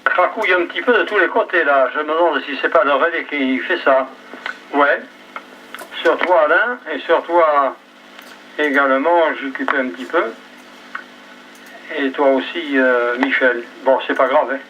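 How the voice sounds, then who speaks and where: A man's voice: fairly high pitch, measured pace, far from the mic. A man chatting casually as he runs an amateur radio round over a two-way link. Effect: two-way radio transmission. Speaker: amateur radio net operator. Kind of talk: casual ham radio chat. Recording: muffled VHF radio with faint noise.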